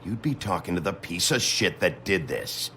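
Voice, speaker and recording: An actor playing a gruff, weary, sardonic detective with a gravelly voice in a dramatic video game. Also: male voice, sits low, very animated, normal speed, fairly bright tone, a little hiss or hum, full character voice. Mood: bitter. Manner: terse, sardonic, swearing.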